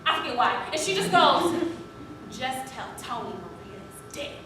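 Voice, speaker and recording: A woman's voice: very high voice, sing-song, moderate pace, bright, forceful tone, exaggerated voice. An actress playing a boy-crazy young woman in a comic stage scene, gushing, giggly and theatrical as she pleads with her best friend. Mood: gossipy. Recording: very echoey room, distant mic, noisy.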